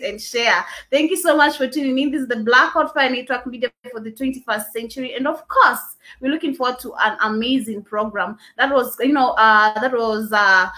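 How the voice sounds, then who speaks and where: Bright-voiced woman hosting a show. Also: a little high. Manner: chatty, rambling with many fillers. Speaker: radio show host. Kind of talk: casual morning show chat.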